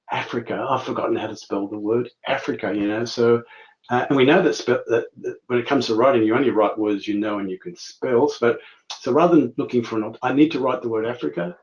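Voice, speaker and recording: An Australian man walks through a software demo for a webinar audience, chatty and halting as he clicks along. His voice sits at an ordinary middle pitch. The audio is a little dull and carries a faint hiss. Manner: chatty, halting, many restarts and fillers. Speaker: webinar host demonstrating software.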